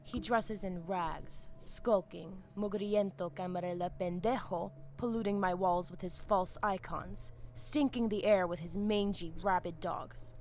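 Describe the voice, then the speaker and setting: An actress voicing a zealous vampire priestess in a video game, with a Spanish accent and a solemn, commanding manner that mixes in Spanish. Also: female voice, lowish voice, measured pace, hardly any pauses, darkish tone, soft background music. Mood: contemptuous, commanding. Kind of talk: video game dramatic dialogue.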